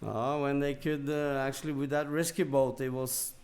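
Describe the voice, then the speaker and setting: A man's voice: mid-range voice, level tone, moderate pace, a little mellow. Norwegian man telling stories, hesitant delivery. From Norway. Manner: hesitant, searching for words in non-native English. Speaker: podcast guest. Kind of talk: casual anecdote telling.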